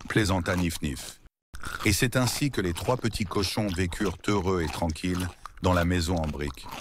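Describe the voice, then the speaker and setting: A man narrating a scripted children's story as storyteller, in an expressive, dramatic way. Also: sits low, normal speed, background noise.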